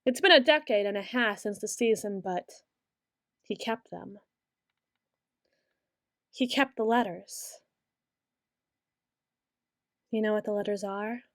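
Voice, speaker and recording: A young woman voicing a brooding queen who speaks softly and musingly, close to the microphone, in an ASMR roleplay. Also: medium pitch, no room sound, lightly acted. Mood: bitter. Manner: soft-spoken, slow and musing, turning bitter. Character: brooding young queen, bitter toward her late father.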